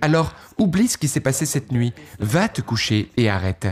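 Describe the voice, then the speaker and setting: Man for an earnest testimony read, mid-pitched, very animated intonation, dramatic pauses. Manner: earnest, recounting past thoughts with dramatic pauses. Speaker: guest giving a testimony. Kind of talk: first-person testimony storytelling.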